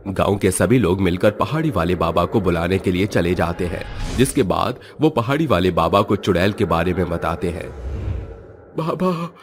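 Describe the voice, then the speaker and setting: A man's voice: low voice, normal speed, hardly any pauses, soft background music. A man reading scripted narration for a cartoon horror tale as the storyteller.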